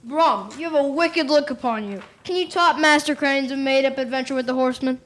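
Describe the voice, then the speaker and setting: A woman's voice: sits high, brisk, fairly bright tone. A young female performer playing a hearty village father and host, speaking in formal old-fashioned phrases in a radio play. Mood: demanding. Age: young teen. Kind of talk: stage radio play acting.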